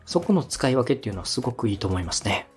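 Quick-talking man with lively pitch swings. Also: faint music behind. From Osaka, Japan. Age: 30s. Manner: polite, encouraging. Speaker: podcast host and radio director. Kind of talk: conversational advice to a co-host.

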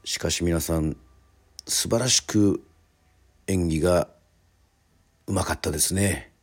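A man hosting and narrating a radio drama, speaking in polite, formal, measured storyteller phrasing. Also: low-pitched, gravelly, slightly rough.

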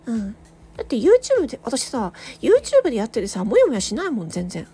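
A woman hosting a solo audio show, chatting casually and reflectively to listeners, thinking aloud with hesitant pauses. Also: fairly high pitch, wildly swooping pitch, dark tone, breathy edge. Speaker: solo audio channel host, amateur voice actor.

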